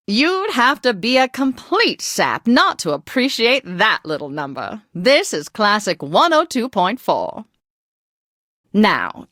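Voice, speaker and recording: A genteel classical radio hostess with a high, swooping voice, recorded close and dry. She talks in prim, effusive, old-fashioned phrases and takes her time over them. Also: fairly bright tone, no echo. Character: refined, genteel woman classical radio DJ, gushing and posh. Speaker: radio host. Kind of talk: scripted classical radio DJ patter.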